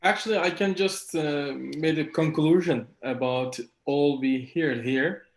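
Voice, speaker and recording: Male panelist summing up on a video call; thoughtful, measured, somewhat high voice, echoey room sound. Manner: measured, reflective, with repeated restarts. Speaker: panel participant. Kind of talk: concluding remarks at online panel. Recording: roomy echo on a call.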